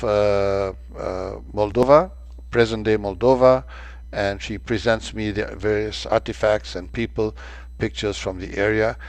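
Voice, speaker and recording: An older man from Romania giving a slide-show lecture about his travels, pausing often with fillers and restarting his sentences. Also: lowish voice, dry recording. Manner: halting, many filler sounds and restarts. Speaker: presenter of a travel talk.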